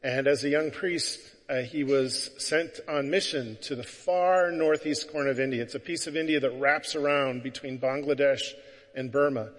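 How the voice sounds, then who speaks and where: Male priest, relaxed introduction, echoey church. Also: medium pitch, moderate pace. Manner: relaxed, with filler words. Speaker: parish priest. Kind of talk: introducing a guest speaker at Mass.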